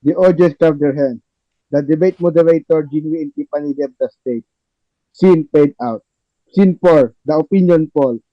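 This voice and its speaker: A man's voice: fairly high pitch, moderate pace, no echo. A man reading stage directions as the narrator of a scripted table read, in a dull lo-fi recording.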